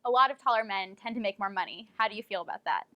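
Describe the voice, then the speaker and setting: Fast-talking woman reporter, dry sound. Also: medium pitch, bright, forceful tone, dry recording, muffled recording. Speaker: interviewer and reporter. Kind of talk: mock investigative report interview.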